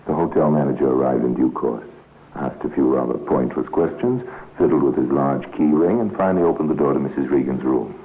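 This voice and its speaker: An actor as the male narrator of a 1950s radio crime drama, telling the story in a measured, grave and wry manner. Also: a bass voice. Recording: tinny, noisy old radio recording.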